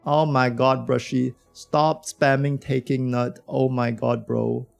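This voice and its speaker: Dude streamer losing his voice, raspy n croaky, yapping slangy to chat, mid pitch. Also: little pitch movement, slow pace, darkish tone, soft background music. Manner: slangy, rambling, talks to chat. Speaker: game streamer. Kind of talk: casual livestream chat reacting to a game.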